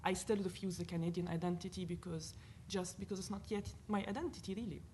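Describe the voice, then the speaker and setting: A woman from Lebanon with a Lebanese accent, speaking as a panelist at a university event in an earnest, animated way, thinking aloud with fillers. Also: lowish voice, fast talker, slight background noise. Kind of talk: panel discussion talk.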